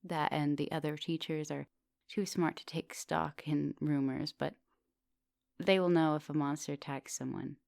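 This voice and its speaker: Woman doing a coaxing vampire lady professor for asmr roleplay, lowish voice, bit gravelly, polite and softly bossy. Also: fairly even intonation, moderate pace, no echo. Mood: confiding, coaxing. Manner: coaxing, polite, formal phrasing. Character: soft-dominant, coaxing female vampire professor, ancient but youthful. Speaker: teacher.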